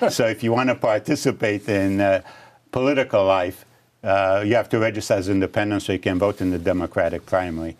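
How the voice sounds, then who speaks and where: An older man from Romania, a candidate being interviewed in a studio, answering in a measured way with many restarts. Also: lowish voice, a little mellow. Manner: measured, with frequent restarts and fillers. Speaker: congressional candidate guest. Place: dark studio set, seated at table.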